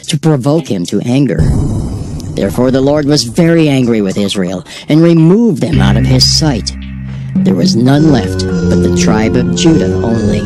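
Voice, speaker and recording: Solemn male scripture narrator. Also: on the high side. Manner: measured, solemn reading cadence.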